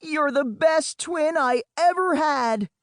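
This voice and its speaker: A man voicing an excitable young boy, an adventurous twin in a children's audiobook, hollering with melodramatic flair. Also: very high-pitched, slow pace, no echo, studio quality, pitched above the performer's own voice, full character voice. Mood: panicked, melodramatic. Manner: shouting, melodramatic.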